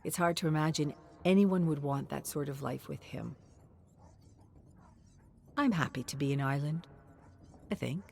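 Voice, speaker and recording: A woman reading a scripted dramatic story in an expressive, measured way, in short phrases. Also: low voice, vocal fry. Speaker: narrator.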